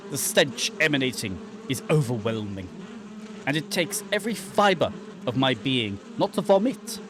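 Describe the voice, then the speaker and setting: A man's voice: mid-range voice, background noise. Man acting out a haunted, brooding horror-game fugitive. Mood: grim despair. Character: haunted, guilt-ridden man lost in dark woods. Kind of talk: dramatic first-person reading of horror visual novel text.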